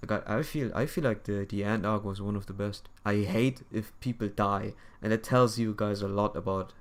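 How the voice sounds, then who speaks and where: A young man livestreaming a game, chatting casually in a halting, rambling way and often repeating his phrases. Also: on the low side. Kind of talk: casual chat while gaming.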